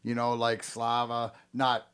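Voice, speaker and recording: A man's voice: medium pitch, monotone, a little slow, a bit bright, smooth. A man interviewing a guest in casual chat, rambling with many restarts and casual swearing.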